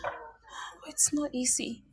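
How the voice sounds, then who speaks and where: An actress playing a grieving mother who is crying, with a breathy, whispery voice and slow, broken speech. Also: high voice, very animated, no room sound, full character voice. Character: grieving woman mourning her dead daughter. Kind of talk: melodrama scene.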